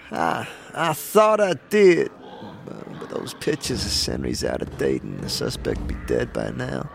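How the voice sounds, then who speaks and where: A man's voice: medium pitch, wildly swooping pitch, a little slow, vocal fry, a crowd in the background, pitched above the performer's own voice, exaggerated voice. A man voicing a world-weary woman who leads soldiers of justice in a fantasy audio drama, wry, knowing and easygoing. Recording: music under the voice.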